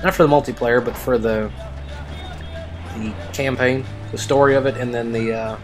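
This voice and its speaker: A man's voice: mid-range voice, fairly even intonation, moderate pace. A young man giving casual let's play commentary, rambling conversationally with a self-deprecating streak. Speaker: gaming video host. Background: game music and vehicle sounds.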